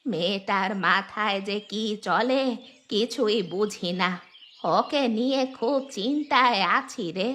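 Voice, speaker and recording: A woman voicing an aggrieved, resigned old village grandmother in a cartoon, complaining slowly about her lot. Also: mid-range voice, fairly bright tone. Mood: puzzled, worried. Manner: slow, complaining, fatalistic. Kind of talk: cartoon voice acting.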